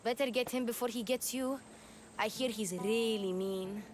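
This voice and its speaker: A woman's voice: a little high, moderate pace, lightly acted. A woman voicing a carefree, plucky young girl in a video game. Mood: breezy.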